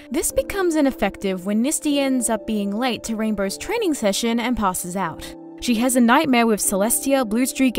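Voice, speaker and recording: A woman narrating a scripted recap of a fantasy tale. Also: fairly high pitch. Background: background music.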